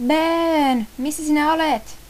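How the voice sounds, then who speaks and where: A woman's voice: sits high, a little mellow, voice pushed up, close to an everyday voice. A woman voicing a brave young male dog pup in an anime fan dub, crying out dramatically in grief and vengeful anger. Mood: anguished. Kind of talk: fan-dubbed anime acting.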